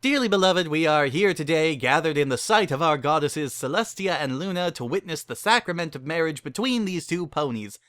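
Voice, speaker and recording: A man's voice: on the high side, lively intonation, fairly bright tone, no room sound, clean studio sound, voice pushed up. Man voicing a breezy, tipsy pony mare officiant. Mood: casual officiating. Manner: brisk, casual sales patter.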